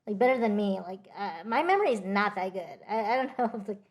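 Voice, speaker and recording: A woman co-hosting a podcast in casual chat, quick to laugh and agree with short replies. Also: mid-range voice, expressive. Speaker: podcast co-host.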